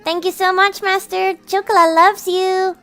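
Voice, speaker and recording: A woman's voice: very high voice, flat delivery, measured pace, pushed and bright, soft background music, pitched above the performer's own voice, heavily acted. A woman voicing a bubbly, adoring young cat girl in a cutesy, gushing visual novel style. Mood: gushing, loving. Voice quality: cutesy, girlish. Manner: gushing, speaks of herself by name.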